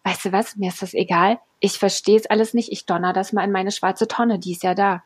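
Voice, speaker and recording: A woman's voice: medium pitch, fairly even intonation, fairly quick, husky, no echo. A woman from Berlin co-hosting a podcast, talking in a casual, chatty way and often asking "ne?". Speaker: podcast co-host. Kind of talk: casual chat.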